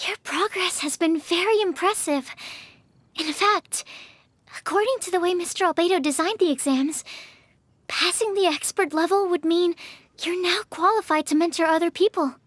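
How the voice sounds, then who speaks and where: A woman's voice: very high voice, normal speed, a bit bright. A woman playing a sweet, earnest young female researcher in an anime game, polite and encouraging. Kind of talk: anime video game voice acting. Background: music.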